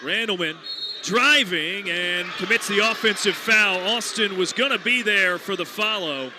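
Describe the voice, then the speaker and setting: A man's voice: sits high, unhurried. A man doing play-by-play as the announcer of a live basketball broadcast. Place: courtside in a basketball arena. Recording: noisy live arena broadcast.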